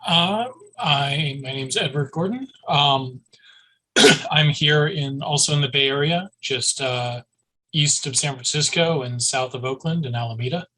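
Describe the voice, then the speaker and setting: A man's voice: on the high side, unhurried, pushed and bright, low-quality recording. A man introducing himself on a video call, dry and wry. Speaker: meetup participant.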